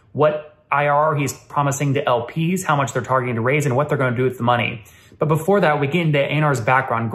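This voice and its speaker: Male show host, thirties. Medium-pitched voice, presenting an intro from a home office. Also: a bit bright. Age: 30s. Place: small home office with bookshelves.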